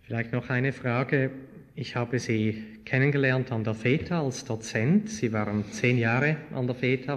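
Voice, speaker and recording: A man introducing a guest speaker at a church evening, asking friendly, loosely improvised questions. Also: mid-range voice. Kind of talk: live interview in a church. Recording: long hall reverb.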